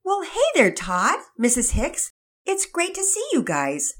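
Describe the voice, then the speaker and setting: A jovial father bursts out greetings and jokes with excited energy, as a woman reader voices him. His lines swing widely in pitch, like a cheerful storybook dad. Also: a little fast, breathy edge.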